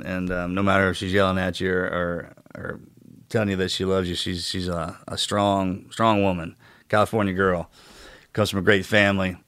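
A middle-aged man, a former Navy SEAL co-hosting a podcast, introducing a guest earnestly with halting restarts. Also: low voice, fairly bright tone, clean tone, dry recording.

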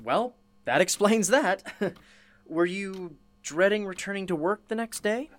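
Actress playing woman interviewer, radio play, curious, informal, animated intonation, dry close recording. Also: medium pitch, measured pace, no room sound, natural voice. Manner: casual, reactive questioning.

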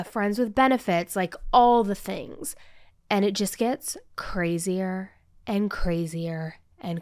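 Podcast host: a woman, animated and chatty, a bit nasal from a cold, close dry mic. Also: mid-range voice, no echo. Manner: animated, talks with hands, lots of "like". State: under the weather, a little nasal. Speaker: true crime podcast host. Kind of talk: casual chatty podcast storytelling. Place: new office studio set. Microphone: podcast microphone.

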